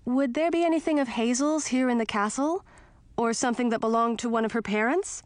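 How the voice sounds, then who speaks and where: A woman's voice: on the high side, brisk, dry recording, voice pushed up, natural voice. A woman voicing a bold, earnest young princess with magic powers, insistent and forthright, in a storybook reading. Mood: probing.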